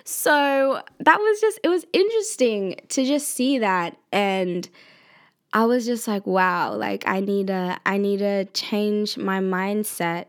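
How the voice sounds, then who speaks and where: Woman, 20, student vlogger; casual, chatty, slangy, laughs often, mid-pitched voice. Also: lively intonation, slow pace. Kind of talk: casual personal vlog talk.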